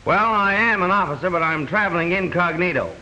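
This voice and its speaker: An actor playing a brash, wisecracking, exasperated police detective in the style of an old mystery film. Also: male voice, high-pitched, a little fast, bright, forceful tone, highs cut off. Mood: brash, defensive. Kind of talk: 1930s-40s mystery film acting. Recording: noisy vintage mystery film soundtrack.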